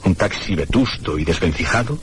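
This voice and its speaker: A man's voice: deep voice, lively intonation, a little fast. A man giving a dramatic first-person scripted narration for a suspense radio play. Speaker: narrator and lead character of a suspense story. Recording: loud background noise and ambience.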